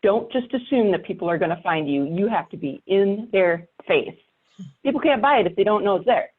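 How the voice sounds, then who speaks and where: A woman's voice: medium pitch, animated, fairly quick. Emphatic, blunt woman on phone-like audio. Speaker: podcaster giving advice. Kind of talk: casual panel chat. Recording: thin, phone-like call audio.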